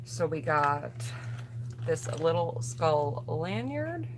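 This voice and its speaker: A woman's voice: low-pitched, very animated, slow, noisy background. A woman chatting casually on a livestream as a beauty vlogger, thinking aloud and addressing viewers directly as she presents products.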